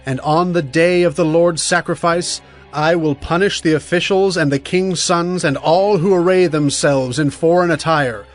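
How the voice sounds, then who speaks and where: An actor playing a stern, urgent Hebrew prophet of doom in a solemn, emphatic dramatized scripture reading. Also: male voice, a little high, natural voice. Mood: stern warning. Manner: solemn, emphatic, deliberate oratory. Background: music.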